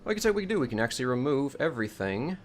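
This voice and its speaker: A man with a mid-pitched voice presents a game mod in a casual commentary. He explains what he is doing step by step and often thinks aloud as he decides what to try next. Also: very animated, racing, slight background noise. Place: indoors at home, voice-over on gameplay.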